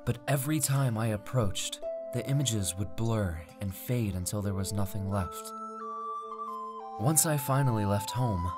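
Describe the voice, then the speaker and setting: A man voicing a driven wandering mage who tells his own life story in measured, theatrical storybook narration. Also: lowish voice, close to an everyday voice. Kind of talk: dramatic first-person fantasy story narration. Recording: music under the voice. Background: background music.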